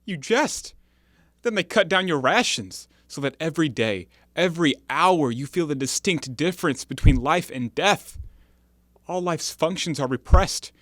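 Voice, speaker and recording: A man's voice: fairly high pitch, expressive, a little slow, fairly bright tone, no echo, studio quality. A man acting an anguished, earnest former convict in an audio play, with formal, declamatory diction. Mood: bitter, anguished.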